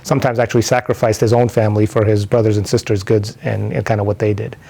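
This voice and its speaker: A man's voice: fairly low pitch, flat delivery, fast talker, vocal fry, mellow, slightly rough. A man in his forties from New York City, telling his life story in a thoughtful, rambling way, with many fillers and restarts. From New York City, United States. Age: 40s. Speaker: interviewee sharing his conversion story. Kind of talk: personal testimony, unscripted.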